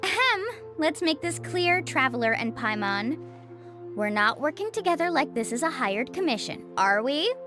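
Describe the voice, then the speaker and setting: A high-voiced, breezy young guide, confident and very animated. It is anime game acting with music playing behind. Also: female voice, moderate pace. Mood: firm.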